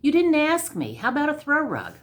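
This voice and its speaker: A woman's voice: high voice, huge pitch swings, a little fast, pitched above the performer's own voice. A woman voicing an unhelpful, matter-of-fact rug merchant in a storybook, chirpy and obtuse. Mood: breezily unhelpful.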